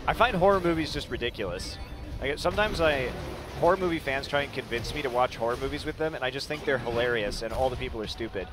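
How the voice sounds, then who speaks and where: A man's voice: medium pitch, animated, quick pace, over music. A man commentating on esports in casual banter, chuckling often and teasing drily.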